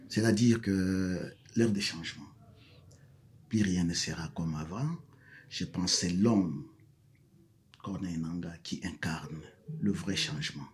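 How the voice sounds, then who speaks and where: A man's voice: deep voice, measured pace, pauses often. A male professor from South Kivu, a Mashi speaker, answering interview questions hesitantly and then with emphatic repetition. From South Kivu, DR Congo. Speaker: guest, professor.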